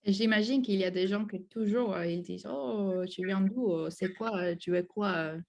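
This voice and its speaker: A woman's voice: mid-range voice, moderate pace, creaky. A woman interviewing, asking hesitant questions with many fillers and restarts. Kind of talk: student research interview.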